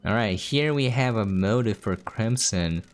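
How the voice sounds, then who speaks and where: Low-voiced woman commenting on gameplay. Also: lively intonation, a little slow. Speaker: game preview host. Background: game music.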